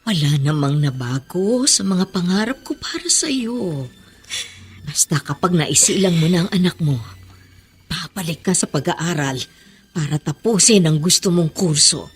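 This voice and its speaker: A Filipino woman playing a loving, patient middle-aged mother in a sentimental radio drama, speaking in a gentle, reassuring way. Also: fairly low pitch, expressive, unhurried, slight background noise. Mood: tender, consoling. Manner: gentle, reassuring, earnest advice-giving. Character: loving, patient mother and new grandmother, consoling her children.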